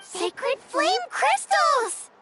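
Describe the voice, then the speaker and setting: Tiny, eager game companion; squeaky-high, very animated delivery, childlike cheer. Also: female voice, drawn-out words, exaggerated voice, rough recording. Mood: excited. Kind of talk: animated video game voice acting. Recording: music behind the voice.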